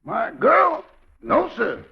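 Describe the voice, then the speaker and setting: An actor playing a cheeky, sly valet with a rasping voice and ready excuses in a 1940s radio comedy. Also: male voice, extremely high pitch, huge pitch swings, slow, heavily acted. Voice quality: gravelly, rasping. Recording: very noisy, muffled old radio recording.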